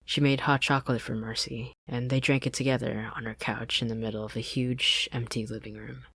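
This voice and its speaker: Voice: mid-range voice, level tone, a little fast, gravelly, a bit bright, husky, dry recording. A narrator reading fan fiction as scripted story narration, close to the microphone. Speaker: podfic narrator. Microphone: close studio-style desk microphone, dry.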